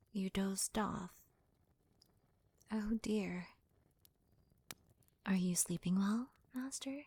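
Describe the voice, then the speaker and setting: A woman playing a devoted, deferential servant in an ASMR roleplay, with a soft, breathy, half-whispered voice. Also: fairly low pitch, heavily acted. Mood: timid, attentive. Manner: gentle, deferential, hesitant. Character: devoted, doting young female servant to her master.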